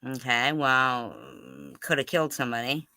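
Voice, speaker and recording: An interviewer with a very sharp, forceful and clean-sounding voice of middling pitch. The delivery is blunt and pressing, with casual swearing, and the sound is close and dry. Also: steady tone, normal speed, no room sound.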